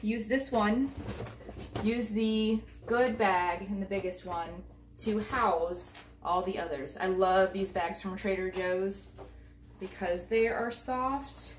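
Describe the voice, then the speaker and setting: A woman's voice: mid-range voice, distant mic, slight background noise. A woman in her thirties giving a casual, upbeat home organizing demonstration, moving around as she talks. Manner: chatty and upbeat, moving around while handling bags. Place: home entryway with hardwood floor, indoors. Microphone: camera mic at a distance. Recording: echoey room, voice from off the mic.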